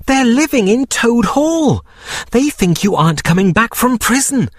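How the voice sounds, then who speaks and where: A man's voice: very high-pitched, very animated, measured pace, no room sound, clean studio sound, pitched above the performer's own voice, heavily acted. A man reading a storybook and voicing a serious male water rat who lectures his friend. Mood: stern. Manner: stern, lecturing.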